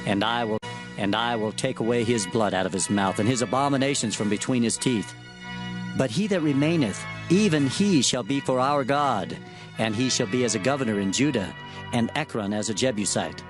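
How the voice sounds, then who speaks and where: Casting: male actor as solemn biblical prophet, higher-pitched voice, declamatory archaic delivery, scored audio scripture. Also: animated, normal speed, over music, lightly acted. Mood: solemn, stern. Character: male prophet solemnly proclaiming divine oracles. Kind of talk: dramatized scripture reading.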